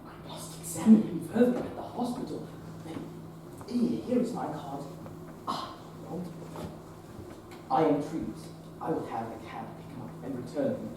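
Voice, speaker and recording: Casting: young man as a formal young Victorian doctor, measured and proper, far-off echoey stage. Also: fairly high pitch, sing-song, noisy background. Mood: polite. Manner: formal, measured, self-questioning. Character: earnest young Victorian surgeon, proper and curious. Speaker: actor in a teen stage production. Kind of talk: stage play acting. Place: theater stage. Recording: roomy stage sound from a distant mic.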